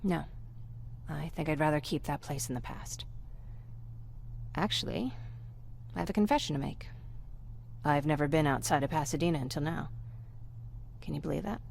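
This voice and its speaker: A woman playing a gentle, wistful young survivor with a creaky voice in a video game. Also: deep voice, moderate pace, pauses often, slight background noise. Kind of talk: video game dialogue acting.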